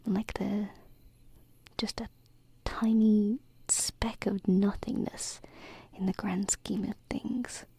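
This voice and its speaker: A gentle alien princess acted by a woman whispers softly and very breathily, right up close to the mic. Her tone is mellow and wistful, with tender, hesitant pauses. It is an intimate ASMR roleplay, protective and kind toward humans. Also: mid-range voice, level tone, husky, exaggerated voice. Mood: shy, musing. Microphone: close binaural microphone.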